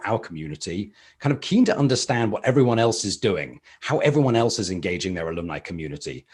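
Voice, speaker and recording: A man with a low, somewhat husky voice opens a business webinar. He talks like a prepared host, polished and upbeat, with a few fillers along the way. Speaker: webinar host, company chief executive.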